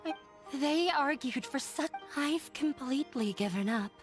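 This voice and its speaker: High-pitched, timid young woman. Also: animated, measured pace. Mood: dejected. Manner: hesitant, apologetic. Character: timid, self-doubting young woman student runaway. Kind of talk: anime game character dialogue. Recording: faint game music behind the voice.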